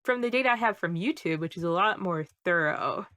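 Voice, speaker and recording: A woman's voice: on the low side, expressive, brisk, pushed and bright, no room sound. A woman in her early twenties hosting an interview, asking friendly, curious questions in casual chat. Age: early 20s. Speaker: interview host, webcomic YouTuber.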